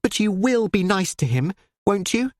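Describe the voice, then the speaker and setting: A breathless, impulsive young woman, as a reader puts her on, pushes every line with urgent emphasis. Her voice rides well above the narration and swings widely in pitch. The recording is close and dry. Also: big pitch swings, normal speed, darkish tone, dry recording, close to an everyday voice.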